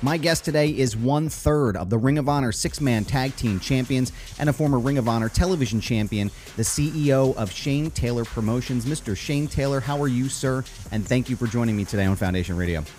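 A man hosting an interview podcast, friendly and lively, with a practiced radio delivery. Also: medium pitch, a little fast, hardly any pauses. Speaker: podcast host.

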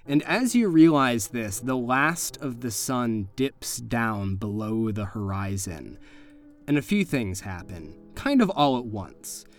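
A man's voice: mid-range voice, very animated, takes it slowly, soft background music. A man working as game master, narrating an improvised horror tabletop game in a conversational, suspenseful way.

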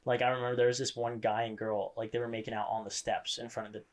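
Teasing young man at mid pitch. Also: quick pace. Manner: relaxed, teasing, storytelling. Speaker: podcast co-host. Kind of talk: casual chat.

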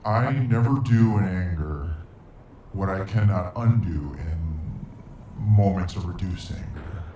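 Very deep, echoing alien void being. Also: male voice, dark tone, slight background noise. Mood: solemn. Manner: formal, archaic, slow deliberate diction. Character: male nine-foot interdimensional void being, grave, solemn, ancient. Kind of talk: audio drama acting.

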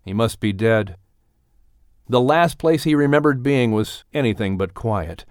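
A man with a medium-pitched voice narrates a dramatic story. He takes his time, shaping each phrase with measured suspense and expression. The recording is close and dry. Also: animated, clear, resonant tone, dry recording. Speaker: audiobook narrator.

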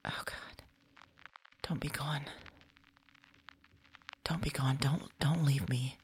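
A woman performing a lonely, frightened woman in an audio drama, speaking haltingly and pleading. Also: rumbling low, fairly even intonation, slight background noise, exaggerated voice. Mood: panicked, pleading. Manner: halting, hesitant, trailing off. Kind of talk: solo audio drama monologue.

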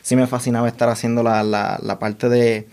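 Young male guest from Puerto Rico, mid-pitched, hesitant, restarts his sentences often. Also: little pitch movement, a little mellow, smooth. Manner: halting, many restarts and repeated words. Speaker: guest, technology consultant. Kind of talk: casual interview chat.

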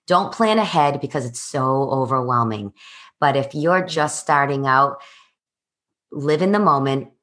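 A woman's voice: very deep voice, lively intonation, a little slow, fairly bright tone. A woman in her forties, a fitness coach, speaking in an emphatic, encouraging way. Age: 40s. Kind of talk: motivational interview answers.

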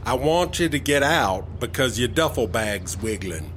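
A man with an American accent playing a suspicious, humorless border officer in a comedy audio drama, deadpan and official. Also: medium pitch, measured pace. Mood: stern. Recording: faint vehicle ambience noise.

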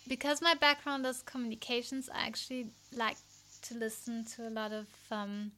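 A woman's voice: fairly high pitch, little pitch movement, moderate pace, sharp tone. A woman with a German accent, a podcast host chatting casually and searching for words in English. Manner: thoughtful, hesitant, many fillers. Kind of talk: casual interview chat.

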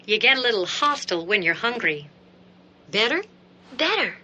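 A woman playing a calm, gentle mother of teenagers in a commercial. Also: a little high, normal speed, muffled recording, full character voice. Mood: soothing. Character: gentle, soothing mother of teens.

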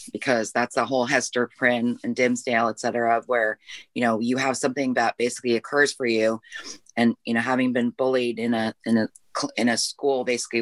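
A man's voice: mid-range voice, a bit bright, dry recording. A man reflecting at length in a podcast discussion, wordy and conversational, piling up repetitions and asides. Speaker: podcast participant.

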